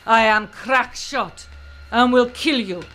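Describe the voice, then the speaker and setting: A woman's voice: fairly high pitch, slow, fairly bright tone. A woman in an amateur comic radio play voicing a scheming Soviet spy disguised as a nun, barking orders in a put-on heavy Russian accent. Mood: defiant.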